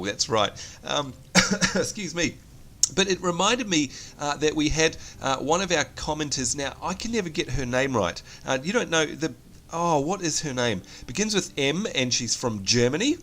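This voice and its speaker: A man's voice: a little high, lively intonation, moderate pace, pushed and bright. A man with a New Zealand accent, sick with a cold, giving chatty, self-mocking commentary on a game he is playing. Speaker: gameplay commentator. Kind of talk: casual let's play commentary.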